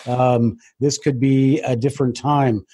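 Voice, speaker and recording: Husky, mellow-voiced male interviewer. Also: mid-range voice, fairly even intonation. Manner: hesitant, pauses with frequent "uh". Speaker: interviewer, host. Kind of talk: interview questioning.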